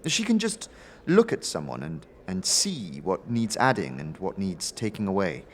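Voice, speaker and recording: A man's voice: low-pitched, wildly swooping pitch, normal speed, slight background noise, exaggerated voice. A man playing a nervous, melancholy young worker in an audio drama, hesitant and stammering, with background music. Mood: halting, awed. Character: nervous, subdued, melancholy young male worker, simple and grateful.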